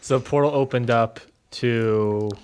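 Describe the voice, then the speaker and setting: A man's voice: mid-range voice, steady tone, a little slow, a little mellow, a little hiss or hum. A man in casual game-table banter, prompting the other players in a teasing, mock-serious way.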